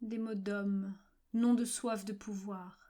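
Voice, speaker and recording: A woman reciting literary poetry in measured, fragmented phrases with frequent pauses, at times breathy and near-whispered. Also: mid-range voice, steady tone, dark tone. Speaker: poetry reader. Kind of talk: slow literary poetry recitation for a video poem.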